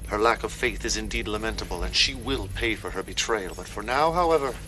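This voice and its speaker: An actor playing a charismatic, scheming cult leader in a video game, speaking in measured, menacing oratory. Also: male voice, lowish voice, pushed and bright, a little hiss or hum, poor audio. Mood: calm, menacing. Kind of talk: dramatic video game cutscene acting. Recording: dramatic music under the voice.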